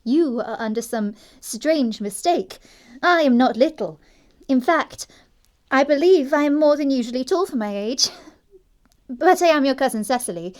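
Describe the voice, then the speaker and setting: A woman's voice: sits high, lively intonation, normal speed. A woman playing a prim, naive yet pert young upper-class English girl in an audio stage comedy, speaking with artless precision.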